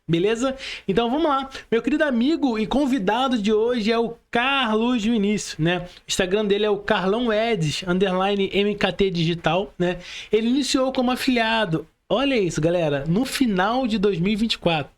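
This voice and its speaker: A man with a Brazilian Portuguese accent hosting a casual podcast interview, addressing people in chummy, informal slang. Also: sits high.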